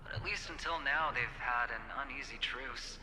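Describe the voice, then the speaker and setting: An actor playing a courteous, insinuating corporate envoy with veiled menace in a video game, speaking in a polished, deliberate way. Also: male voice, mid-range voice, big-room sound, a little hiss or hum, close to an everyday voice, poor audio. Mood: confidential. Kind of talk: scripted video game voice acting.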